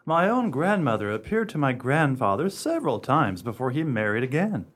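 A woman acts a meddling gentleman doctor in a radio drama, low in pitch and pompous. The formal phrasing rises and falls with plenty of animation. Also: sing-song, moderate pace, slightly rough, exaggerated voice. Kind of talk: radio play acting.

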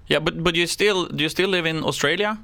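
A man from Sweden conducts a radio interview, friendly and brief. His voice is bright and smooth, and it is recorded close and dry, with no room sound. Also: fairly high pitch, brisk, no echo.

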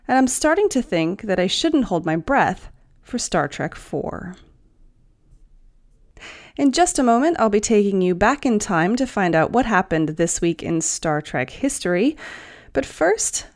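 Female podcast host, scripted news read, very animated intonation, mid-range pitch. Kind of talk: scripted entertainment news narration.

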